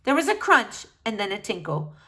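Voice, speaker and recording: A woman narrating a children's book aloud in an expressive storytelling style. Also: fairly high pitch, normal speed.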